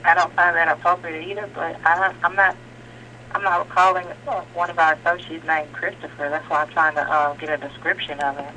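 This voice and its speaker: A woman's voice: low voice, little pitch movement, fairly quick, pushed and bright. A woman handling a customer complaint by phone, firm and plain-spoken, restating her points. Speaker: store employee taking a customer complaint. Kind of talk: customer service phone call. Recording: noisy telephone line with hum.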